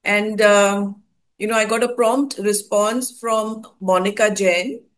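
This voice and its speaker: A woman's voice: mid-range voice, steady tone, takes it slowly. A woman from India hosting a conversation, recounting a meditative experience with frequent hesitations and fillers. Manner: hesitant, many fillers and restarts. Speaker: host. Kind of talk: casual spoken account of a spiritual experience.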